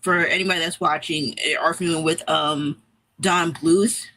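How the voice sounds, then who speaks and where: Bright, higher-voiced man chatting wryly. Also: fairly even intonation, normal speed, slight background noise, poor audio. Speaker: podcast co-host. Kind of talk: casual chat, giving recommendations.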